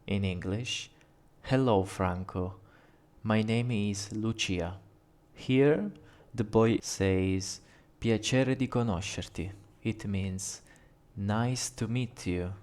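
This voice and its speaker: A man with an Italian accent, a native Italian speaker, giving a measured, carefully paced language lesson and reading short dialogue lines aloud. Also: on the low side, darkish tone. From Italy. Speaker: language teacher. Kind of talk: slow scripted language lesson.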